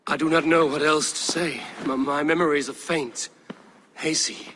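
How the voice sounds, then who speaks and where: A troubled young-blooded Viking warrior in a game drama. His voice sits fairly high for a man and is forceful, with a gravelly edge. He speaks earnestly and haltingly, with faint noise behind him. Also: fairly even intonation. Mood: shaken, hazy. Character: intense, troubled male Viking warrior, fiercely loyal to his brother. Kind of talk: dramatic video game acting.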